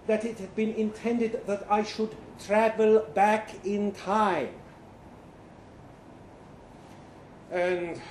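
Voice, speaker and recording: High-voiced, halting bewildered professor played by a man. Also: darkish tone. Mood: careful, puzzled. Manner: formal, hesitant, precise diction with halting pauses. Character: earnest, bewildered male professor claiming to be from the future. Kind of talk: dramatic first-person short story reading. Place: indoor live literary reading event. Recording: roomy live venue, faint background noise.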